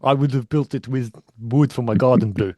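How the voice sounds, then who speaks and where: A man chatting in a self-deprecating way and breaking down rugby tactics at length. His voice sits at a middle pitch, recorded dry in a home studio with no room echo. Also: normal speed, warm tone. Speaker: podcast co-host. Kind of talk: casual chat and rugby analysis.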